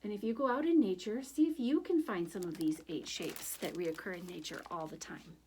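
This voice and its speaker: A woman reading a picture book aloud and chatting to children, speaking in an expressive, gentle and direct way. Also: mid-range voice. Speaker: children's story reader for a church. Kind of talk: picture book read aloud, then informal reflection to children.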